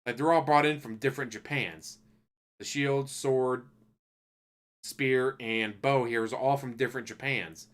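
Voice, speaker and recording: A man giving a loose, profane spoken review of an anime, ranting casually and acting out characters in quick asides. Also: medium pitch, fairly even intonation, a bit bright.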